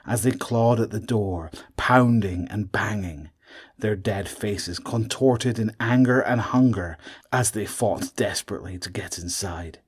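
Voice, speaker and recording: Low, husky male horror narrator. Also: darkish tone. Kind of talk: dramatic scripted story narration.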